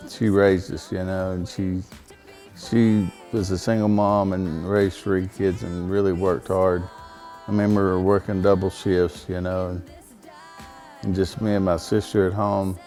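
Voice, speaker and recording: A man with a baritone voice, speaking as a songwriter guest in a casual interview, plainspoken and rambling with frequent fillers and restarts. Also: level tone, measured pace, darkish tone, faint music behind.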